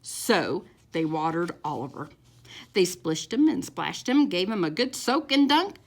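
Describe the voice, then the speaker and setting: A woman reading a picture book aloud to young children in a theatrical, expressive storytime style with drawn-out words and sound effects. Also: lowish voice.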